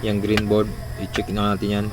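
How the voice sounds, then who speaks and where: A man's voice: fairly low pitch, completely flat delivery, normal speed, over music, low-quality recording. A man with a Filipino accent hosting a casual hands-on repair tutorial, talking informally through each step as he works. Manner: informal, talking through steps while working. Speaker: electronics repair tutorial host.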